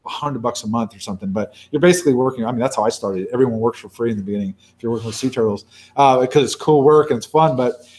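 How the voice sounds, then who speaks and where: A man's voice: mid-range voice, very fast, darkish tone. A man in his forties, a sea turtle researcher from Baja California, Mexico, talking casually with many restarts and self-corrections. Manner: rambling, many restarts, conversational. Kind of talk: casual talk and Q&A.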